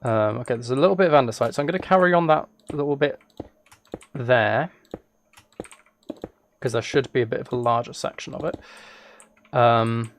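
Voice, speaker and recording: A man with a mid-pitched voice chats casually while streaming a game. He rambles along in a playful, self-amused way. Keyboard clicks and noticeable background noise sit behind him. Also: moderate pace, long pauses. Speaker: live streamer. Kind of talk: casual chat with game commentary. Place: indoors at a computer.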